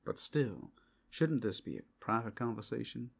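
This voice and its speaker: Man playing a guarded, gravelly werewolf. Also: fairly low pitch, big pitch swings, normal speed, full character voice. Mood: wary, objecting. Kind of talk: ASMR fantasy roleplay.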